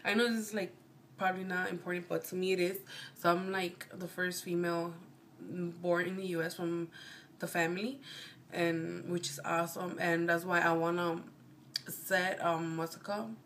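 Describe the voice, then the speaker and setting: A woman's voice: lowish voice, flat delivery, moderate pace, a bit bright, a little hiss or hum. Rambling Mexican American woman vlogger. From Chicago, United States. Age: 20s. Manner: rambling, meandering, often corrects herself. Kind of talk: casual chat to camera.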